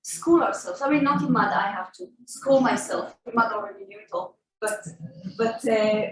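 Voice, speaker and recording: A woman's voice: fairly high pitch, normal speed. Emphatic Israeli-born woman panelist, echoey room. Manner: emphatic, insistent. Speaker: panelist, activist. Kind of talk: workshop Q&A answers. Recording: echoey room, faint noise.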